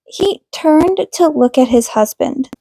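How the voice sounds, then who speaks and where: A woman's voice: high voice, animated, slow pace, dark tone, no room sound, low-quality recording. A woman reading scripted fiction aloud as the narrator of an amateur audiobook. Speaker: podfic reader.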